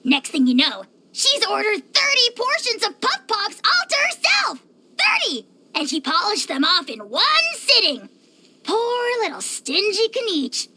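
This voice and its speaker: Shrill bratty little dragon creature. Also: huge pitch swings, unhurried, sharp tone, full character voice. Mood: gloating, mocking. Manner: theatrical, indignant, gloating. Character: small bratty pompous dragon-like companion creature, loud and mocking. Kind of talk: cartoonish video game character acting.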